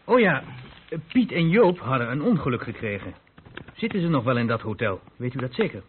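An actor playing a stern, reproachful police inspector in a radio drama, questioning in a clipped, insistent, authoritative way. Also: male voice, mid-range voice, very animated, brisk, slight background noise, natural voice. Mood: businesslike. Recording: muffled narrow-band old radio recording.

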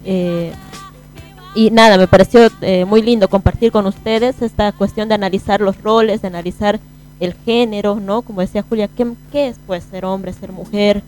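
A woman's voice: medium pitch, fairly even intonation, moderate pace, a little mellow, faint music behind, low-quality recording. A woman with a Bolivian Spanish accent co-hosting a casual radio panel, informal and often checking for agreement. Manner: informal, often seeks agreement with tag questions. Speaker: radio show co-host. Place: radio booth.

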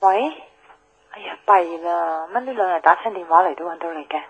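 A woman's voice: on the low side, brisk, fairly bright tone, breathy edge. An actress playing a chatty, blunt young woman with a Hong Kong accent, grumbling and teasing casually in a radio drama. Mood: playful, teasing. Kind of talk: naturalistic radio drama dialogue. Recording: tinny, dry telephone line.

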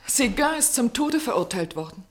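An actress in a German radio play as a conscientious, persistent clinic assistant, formal and restrained but pointedly reproachful. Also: female voice, a little high, very animated, normal speed.

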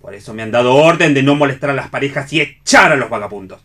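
An actor playing an officious, scornful park guard in a radio play, declaiming with authority in an Argentine accent. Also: male voice, medium pitch, lively intonation, quick pace, sharp tone. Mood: scornful, preachy. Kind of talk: theatrical read radio play.

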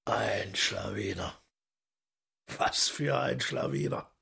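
An actor playing a commanding, sardonic devil in a radio play, with a raspy, gravelly voice and courtly, mocking manner. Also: male voice, deep voice, lively intonation, takes it slowly, sharp tone, heavily acted. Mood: amused scorn. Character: commanding, sardonic devil holding court among his retinue.

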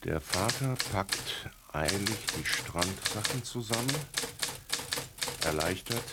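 A man's voice: low voice, very noisy. A man playing an exasperated storyteller in a radio drama, narrating in a wry, sighing, self-interrupting way. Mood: measured. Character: harried storyteller, exasperated by his own characters.

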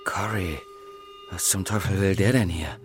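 An actor playing an uneasy, brooding amateur accomplice in a heist, anxious and questioning, in a radio crime drama. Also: male voice, low voice, measured pace, over music. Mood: nervous.

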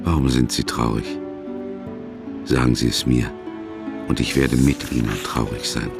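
Radio drama: secret lover, extremely deep creaky voice, intimate, tender letter reading. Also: male voice, fairly even intonation, a little slow, heavily acted. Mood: tender, wistful. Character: tender, lovesick man writing a secret love letter. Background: music.

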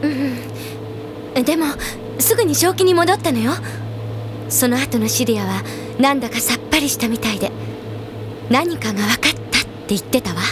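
An actress playing a spirited, take-charge young woman in a Japanese anime audio drama, casual, direct and energetic. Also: high-pitched, normal speed, pauses often, noisy background. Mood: concerned. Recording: loud background music.

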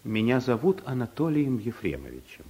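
An actor playing a timid, bumbling office clerk with a hesitant, earnest manner in a vintage radio play. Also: male voice, mid-range voice, huge pitch swings, normal speed, warm tone, slight background noise. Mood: nervous, earnest. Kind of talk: Soviet radio play acting.

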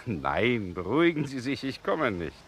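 An actor playing a mocking, self-assured gentleman in a deliberate, musing manner, in the style of a 1960s radio play. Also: mid-range voice, very animated. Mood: amused mockery. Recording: noisy vintage 1960 radio recording.

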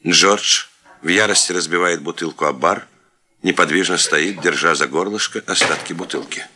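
A man's voice: deep voice, normal speed, pushed and bright. A man narrating stage directions for a radio play in a scripted style.

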